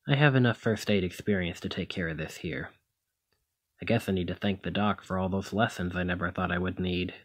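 A man acting a low, husky demigod hero. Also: fairly quick. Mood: worried, firm. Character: brawny male demigod hero, protective, conflicted, exasperated lover. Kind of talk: ASMR roleplay, one-sided scripted dialogue.

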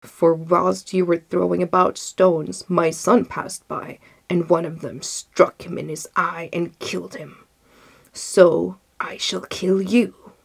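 A woman's voice: fairly low pitch, flat delivery, slow, gravelly, slightly rough, heavily acted. A woman voicing an enormous enraged male genie in a storybook, speaking in menacing, emphatic declarations. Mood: accusing, merciless.

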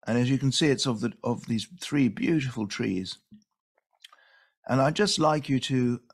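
Older male presenter from Venezuela, soft and unhurried, heard over a video call. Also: mid-range voice, creaky. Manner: soft, unhurried, repeats words while thinking. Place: home room with window.

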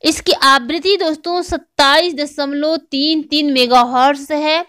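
A woman's voice: sits high, fairly even intonation, unhurried, a bit bright, no room sound, clean studio sound. A woman with an Indian accent giving an explanatory lecture as a teacher, repeating and rephrasing key points. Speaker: online physical education teacher. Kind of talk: explanatory exam-prep lecture.

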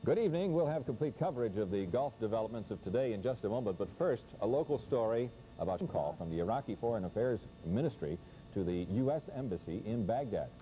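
Dark-toned, brisk older male anchor. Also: fairly low pitch, expressive, low-quality recording. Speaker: news anchor. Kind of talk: scripted news reading. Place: TV news studio. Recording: faint hiss, old TV broadcast.

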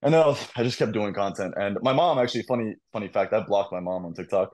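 A young man, a podcast guest and content creator, telling animated stories in casual chat over a video call. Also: fairly low pitch, quick pace. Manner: animated storytelling, laughs often.

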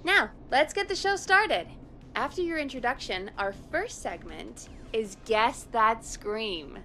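High, animated, perky radio producer. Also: female voice, takes it slowly, faint music behind, heavily acted. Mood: upbeat, instructing. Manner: playful, bubbly. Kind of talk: game voice acting.

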